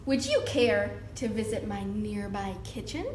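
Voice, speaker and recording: A woman voicing a sly, courteous male fox from a storybook, with formal, smooth invitations. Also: mid-range voice, lively intonation, a little slow, pitched below the performer's own voice, close to an everyday voice. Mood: sly, inviting. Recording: roomy echo with background noise.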